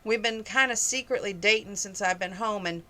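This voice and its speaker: A woman's voice: medium pitch, moderate pace, bright, forceful tone, close to an everyday voice. A woman reading as an easygoing young cowboy.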